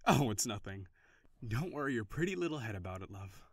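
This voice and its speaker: A man playing an overworked office boyfriend in an audio roleplay. He sighs often, curses when frustrated and softens into affection. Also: fairly low pitch, big pitch swings, brisk, slightly rough, no echo, clean studio sound, heavily acted. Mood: soft, affectionate. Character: stressed, overworked office boyfriend, gruff but tender. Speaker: voice actor.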